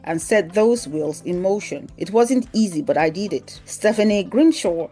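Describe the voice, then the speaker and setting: A woman's voice: medium pitch, lively intonation, moderate pace, dark tone, soft background music. A woman narrating a scripted news article aloud as a newsreel presenter.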